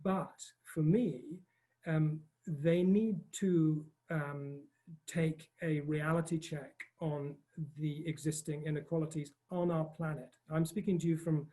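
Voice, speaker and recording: A man from northern Scotland speaks in a posh British accent, his voice slightly high for a man yet warm and mellow. He talks on a panel in a halting, self-deprecating way, full of ums. He is speaking from outdoors in a field. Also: fairly even intonation. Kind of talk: online panel talk.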